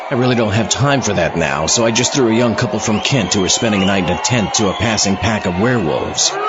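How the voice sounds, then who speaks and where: A man hosting a podcast with scripted comic narration in a dry, wry manner. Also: lowish voice. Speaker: podcast host. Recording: slightly muffled, loud music behind. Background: music.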